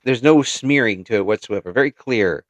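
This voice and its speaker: A man's voice: medium pitch, normal speed, dry recording, highs cut off. Male podcast host in a dry, close setup. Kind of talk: casual interview chat.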